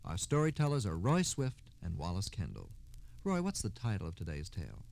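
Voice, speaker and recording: Animated American man hosting old-time radio. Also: sits low, brisk, creaky. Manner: formal announcer delivery. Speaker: radio host.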